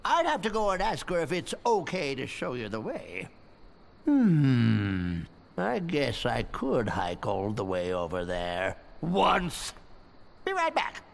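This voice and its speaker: A man's voice: medium pitch, wildly swooping pitch, slow, gravelly, a little hiss or hum, full character voice. A man voicing a cranky, suspicious old shopkeeper in a cartoonish video game, with a cracking, grouchy voice. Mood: grudging, muttering.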